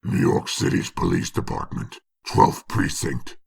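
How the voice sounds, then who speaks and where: A man with a very gravelly, breathy rasp giving dramatic scripted narration of a comic book. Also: a bass voice, wildly swooping pitch, a little slow.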